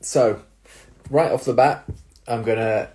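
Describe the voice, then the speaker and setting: A young man, a personal trainer, giving casual advice to viewers with a slightly nasal, stuffy-nosed voice, explaining things step by step with filler words and quick "right?" checks. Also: mid-range voice, warm tone. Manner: explanatory, with tag questions and fillers. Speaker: personal trainer and jiu-jitsu coach.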